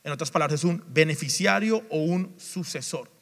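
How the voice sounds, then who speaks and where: A man's voice: fairly high pitch, moderate pace. A male pastor preaching a sermon, emphatic, repeating refrains and asking the congregation questions. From Latin America. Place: church sanctuary. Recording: long church reverb.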